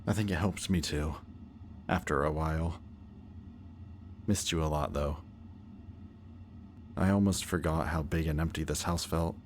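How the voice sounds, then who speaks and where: A man acting a possessive, anxious antihero and devoted best friend in an emotional, halting audio roleplay addressed to the listener. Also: a bass voice, steady tone, slight background noise. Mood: tender, nervous. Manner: halting, hesitant, earnest.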